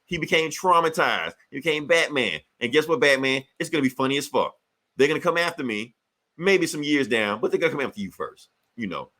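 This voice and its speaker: A man hosting a podcast, retelling comic book stories in casual, profane slang with animated delivery. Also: on the high side, a little fast. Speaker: podcast host.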